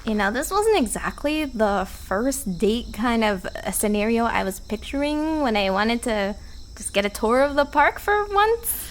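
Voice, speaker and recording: A woman acts out an anxious but wry park visitor in a fiction podcast. Her voice is very high and she rambles hesitantly in an everyday way, with clear cricket-filled ambience behind her. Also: big pitch swings, measured pace, a little hiss or hum. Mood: uneasy. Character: nervous, wry human woman on a park tour. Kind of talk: fiction podcast audio drama acting.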